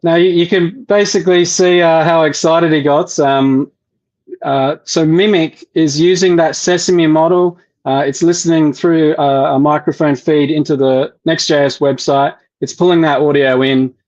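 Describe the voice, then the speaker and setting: Guy presenting a dev demo online, kinda high voice, lots of um and uh, muffled lo-fi audio. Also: steady tone, darkish tone. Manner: halting, many fillers and restarts. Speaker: developer relations presenter.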